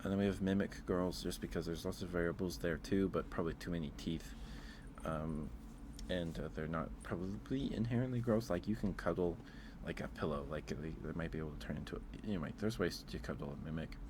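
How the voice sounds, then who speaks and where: Low-voiced man streaming, noisy recording. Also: brisk. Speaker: live streamer. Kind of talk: casual ASMR livestream chat.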